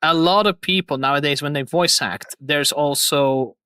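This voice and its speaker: Bright-voiced young man hosting a chat. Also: a little high, moderate pace, clear, resonant tone, dry recording, studio quality. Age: 20s. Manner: laughs often, rambling and excited. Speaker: host. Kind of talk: casual chat on a livestream.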